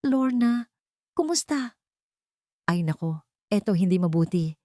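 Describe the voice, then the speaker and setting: A woman voicing a domineering, self-pitying older mother in a story, sharp, plaintive and dramatic. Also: medium pitch, lively intonation, a little slow, no echo, clean studio sound, natural voice. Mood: mournful, aggrieved.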